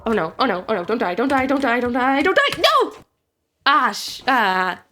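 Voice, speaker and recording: A young woman voicing a game playthrough in an expressive way, reacting out loud as she goes. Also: on the high side, moderate pace, slight background noise. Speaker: fan voice actor. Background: faint game music.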